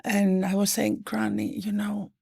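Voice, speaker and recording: A middle-aged woman guest, an economist whose first language was French, telling stories in an animated way, with quick bursts and soft, hushed quoting. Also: mid-range voice, gravelly, breathy edge. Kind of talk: conversational interview.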